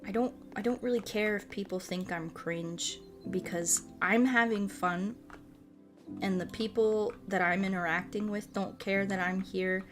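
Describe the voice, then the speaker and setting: A woman in her late twenties chatting informally as a livestream host, rambling and animated, with loose, sweary language. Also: mid-range voice, unhurried, over music. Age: late 20s. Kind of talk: casual chat while playing a game, reading and answering chat.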